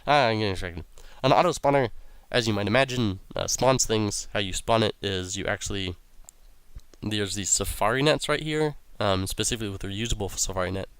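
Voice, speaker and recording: A young man with a low, gravelly, raspy voice rambles through a video game, full of ums and uhs. He is recorded close and dry on a desk mic, with no room sound. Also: a little fast, a bit bright, no echo. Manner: rambling, many ums and uhs, reads quest text aloud. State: raspy voice from illness. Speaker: let's play host. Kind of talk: casual game commentary.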